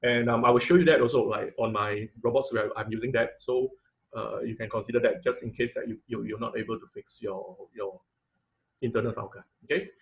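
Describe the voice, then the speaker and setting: A man teaching an online class in an informal lecture style, with halting, hesitant phrasing and repeated words, speaking over a video call. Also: mid-range voice, fairly even intonation, vocal fry. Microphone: computer mic on a video call.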